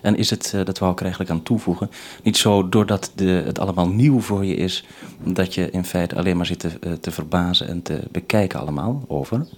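A man's voice: deep voice, a little fast, darkish tone, slight background noise. A man conducting a radio interview, asking questions in a formal, somewhat hesitant way.

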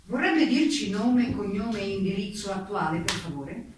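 A woman's voice: mid-range voice, expressive, normal speed, close to an everyday voice. A woman playing a committee's chief investigator in a staged reading, questioning in a formal, clipped, official way. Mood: official, probing. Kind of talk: staged dramatic reading of interrogation. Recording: distant mic, very echoey room, faint noise.

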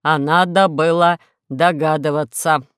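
A woman voicing a gloomy, melodramatic male former combat robot in a slow, mournful, drawn-out manner for a children's story. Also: on the low side, steady tone, fairly bright tone, clean tone, no echo, clean studio sound. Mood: gloomy, resigned.

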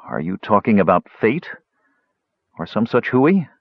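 Guy doing a sulky young dwarf nephew for a fantasy story, blunt, defensive, pitch jumps around, slightly dull sound. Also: sing-song, measured pace, no echo, full character voice. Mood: puzzled. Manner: belligerent, blunt, informal. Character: sullen, stubborn young male dwarf nephew, defensive, grieving.

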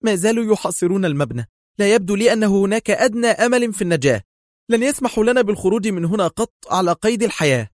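A man's voice: high-pitched, lively intonation, rapid-fire, no echo, clean studio sound, pitched above the performer's own voice. A man voicing an anxious, agitated woman in a dramatised story, tense and fretful. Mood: panicked. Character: anxious, agitated woman fearing for her life.

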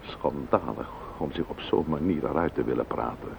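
Bitter grieving widower, Netherlands Dutch, very deep gravelly voice, cutting formal delivery, old radio drama. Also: male voice, moderate pace, full character voice. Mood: bitter, indignant. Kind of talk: radio play acting. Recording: dull, noisy old radio play recording.

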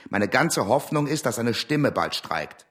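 An actor playing a stern, disciplined revolutionary in a radio drama, terse and disapproving, with a husky voice. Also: male voice, mid-range voice, sing-song, unhurried, a bit bright. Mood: exasperated, scornful. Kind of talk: German radio drama acting.

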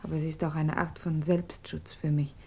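An actress in a German radio drama playing an earnest, emotional émigré woman who speaks intimately, sometimes dropping to a hushed, breathy tone. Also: deep voice, little pitch movement, normal speed, a little hiss or hum. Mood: earnest, urging.

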